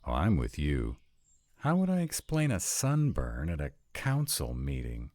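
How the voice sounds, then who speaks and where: A man acts out a sarcastic, reluctant young vampire in an audio drama. The voice is very deep and mellow with a rough husk, and it moves at a slow pace with wry, questioning turns. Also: wildly swooping pitch, dry recording, clean studio sound, heavily acted. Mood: sarcastic, incredulous. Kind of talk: scripted roleplay audio drama.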